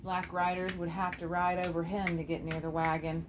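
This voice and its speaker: A woman's voice: on the low side, flat delivery, moderate pace. A woman reading scripted story narration for an amateur radio theater piece. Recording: clearly noisy amateur recording.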